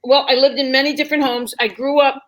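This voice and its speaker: A woman's voice: high-pitched, fairly even intonation, fast talker. A woman telling stories conversationally, candid and emphatic, acting out bits of dialogue as a comedian guest. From New York, United States. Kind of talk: animated conversational storytelling.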